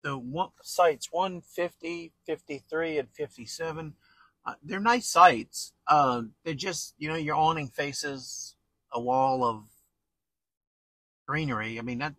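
A man's voice: a little high, takes it slowly, bright, forceful tone, no room sound. A man giving a casual, rambling campground tour as a travel vlogger, chatting with a partner in a folksy, colloquial way.

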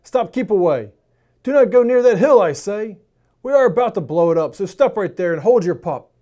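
A man voicing a gruff adult male worker in a storybook, shouting loud, bossy warnings. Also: sits high, lively intonation, normal speed. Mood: alarmed warning.

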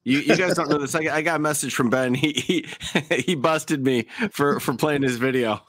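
A man's voice: a little high, moderate pace, fairly bright tone. A man hosting a livestream with casual, joking commentary, often repeating words as he goes. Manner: joking, stammering repetitions. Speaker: livestream host. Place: video call.

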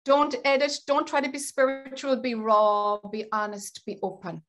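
Female webinar host, middle-aged, fairly high voice, informal facilitator style, speaking on a video call from home. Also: little pitch movement, moderate pace, a bit bright, soft background music. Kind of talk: informal guided group facilitation. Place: home room with bookshelves, indoors.

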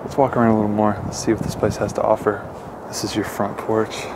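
Young guy vlogging outside on his camera, lowish flat voice, lots of background noise. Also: quick pace. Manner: casual, chatty, addresses viewers as guys. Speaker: urban explorer host. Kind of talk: walking tour vlog, casual. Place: outdoors and inside an abandoned mansion. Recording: noisy outdoor camera audio.

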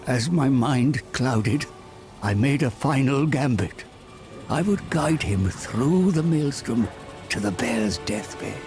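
An actor playing an old, cunning wandering seer, telling his tale gravely and dramatically in a game cinematic. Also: medium pitch, expressive, takes it slowly, music in the background. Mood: ominous storytelling. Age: older.

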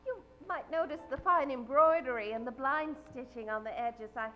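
A woman's voice: sits high, normal speed, highs cut off, close to an everyday voice. A middle-aged woman playing a fretful, sweetly fussy dressmaker in old-time radio comedy, hesitant and quavering. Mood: sweet, flustered. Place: theatre stage. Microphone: vintage-style stand microphone.

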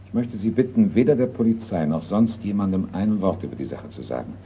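Discreet art valuer, lowish voice, calm and measured, courteous but insistent, tinny old radio play sound. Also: male voice. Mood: discreetly serious. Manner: composed, polite, measured, insistent. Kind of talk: German radio crime play acting. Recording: tinny, narrow-band radio recording, faint noise.

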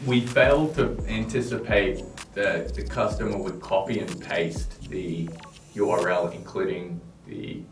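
A man's voice: low-pitched, steady tone, measured pace. A man in casual business conversation, talking in a chummy, informal way as a startup team member. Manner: chummy, informal, says mate and buddy. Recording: roomy, distant mic, music behind.

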